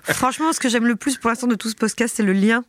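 A woman's voice: on the high side, fast talker, dry recording, studio quality. A woman in her thirties with a stuffy head cold, chatting casually as a podcast guest, swearing casually and acting out other people's voices. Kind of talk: casual chat.

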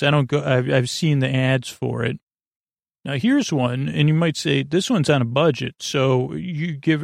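Rambling, creaky-voiced man hosting a sleep podcast. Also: medium pitch, dry recording, studio quality. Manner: meandering, halting, absent-minded, trailing off mid-thought. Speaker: podcast host.

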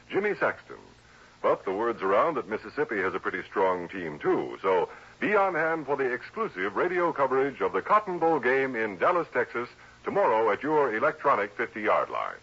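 A man's voice: mid-range voice, very animated, brisk, a bit bright, breathy edge. An American man delivering a scripted promo as a 1960s radio network announcer. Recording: dull vintage radio recording.

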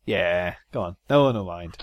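A man's voice: deep voice, lively intonation, moderate pace, dry recording, lo-fi sound. A man co-hosting a casual podcast about motor racing, joking and laughing often. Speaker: podcast co-host.

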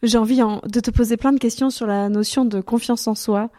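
Chatty woman interviewer, slightly high. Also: steady tone, fairly quick, warm tone. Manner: friendly, chatty, addresses the guest with tu. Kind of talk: casual interview.

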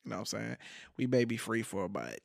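A man's voice: fairly low pitch, sing-song, fast, gravelly, no room sound, studio quality. A young man with a Texan accent hosting a podcast in a casual, laid-back way, swearing freely and laughing often. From Houston, Texas. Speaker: podcast host. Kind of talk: casual chat.

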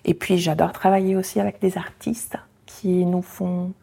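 Invitée d'interview, femme de 40 ans, accent italien, timbre sombre et feutré, réfléchie et hésitante. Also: female voice, fairly low pitch, flat delivery, moderate pace, vocal fry, dark tone, slightly rough. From Italy. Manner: reflective, many hesitations with euh. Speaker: guest, founder of a language association. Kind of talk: conversational interview.

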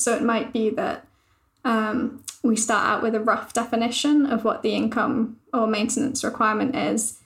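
A woman journalist on a podcast, calmly explaining a topic in a thoughtful, measured way. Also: on the high side, gravelly. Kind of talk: casual podcast discussion. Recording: roomy echo.

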